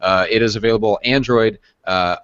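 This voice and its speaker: Male co-host, fairly deep, informal off-the-cuff delivery, slightly dull dry audio. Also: steady tone, brisk, a bit bright, clean tone, no echo. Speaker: co-host of a real estate training show. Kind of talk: casual unscripted show chat and plugs.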